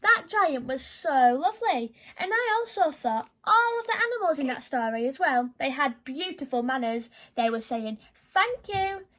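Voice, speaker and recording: A woman reading a children's picture book aloud in an expressive, teacherly way. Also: high voice, normal speed, hardly any pauses. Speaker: children's story reader.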